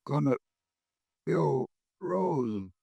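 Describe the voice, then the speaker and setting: A stabbed man gasps out broken words, wounded and fading. His voice is very slow, husky and gravelly. The sound is dry. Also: medium pitch, lively intonation, warm tone, no echo, full character voice. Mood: dying. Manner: halting, broken whispers. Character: stabbed man gasping his last warning.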